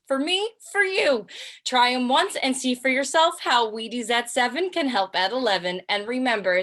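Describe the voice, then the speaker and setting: A woman's voice: on the high side, fairly bright tone. A woman playing a fussy, earnest co-announcer in 1950s radio ad style. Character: fussy critic co-announcer pitching cereal earnestly.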